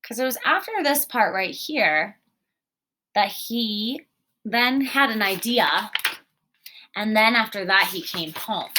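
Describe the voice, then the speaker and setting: A woman teacher reads a picture book aloud to young children in a medium-pitched voice. She narrates expressively, then explains and asks guiding questions as she goes. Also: pushed and bright, slight background noise, low-quality recording.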